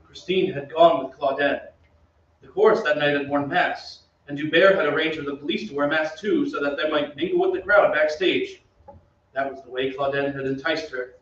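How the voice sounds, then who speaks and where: Man acting a highish-voiced radio thriller narrator. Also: fairly even intonation, moderate pace, fairly bright tone, natural voice. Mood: solemn, suspenseful. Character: solemn male narrator of a gothic radio thriller. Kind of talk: scripted narration, old-time radio drama. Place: theatre stage, away from the mic. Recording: echoey stage, distant, dull, faint noise.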